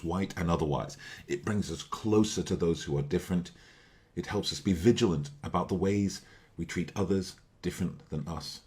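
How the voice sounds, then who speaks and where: Deep-voiced man narrating an explainer video. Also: a little mellow.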